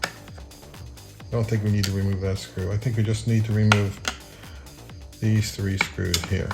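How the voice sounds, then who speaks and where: A man narrating a casual hands-on how-to, unhurried and thinking aloud as he works. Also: lowish voice, level tone, creaky, over loud music. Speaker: repair tutorial presenter.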